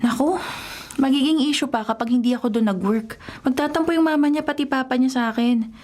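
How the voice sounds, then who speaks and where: A woman's voice: high-pitched, brisk, darkish tone, lightly acted. An actress playing a boyish, easygoing and caring young woman in a radio drama, speaking casually. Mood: casual, guarded. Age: young adult. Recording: music bed behind radio drama dialogue. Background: music.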